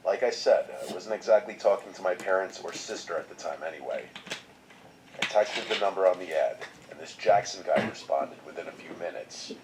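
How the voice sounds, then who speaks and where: Male narrator, deep voice, steady scripted read of a horror story. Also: fairly quick, background noise. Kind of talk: scripted first-person narration.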